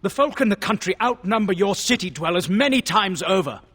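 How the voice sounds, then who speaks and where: A man's voice: high-pitched, expressive, a little fast, a bit bright, breathy edge, full character voice. An actor playing an earnest, indignant lord in a fantasy game, formal and impassioned, with long hall reverb on his voice. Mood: indignant, pleading. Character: earnest, indignant lord pleading for starving peasants. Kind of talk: scripted fantasy game dialogue.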